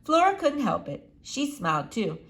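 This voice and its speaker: Animated woman reading a children's story. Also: on the high side, moderate pace. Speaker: storybook reader. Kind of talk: expressive read-aloud narration.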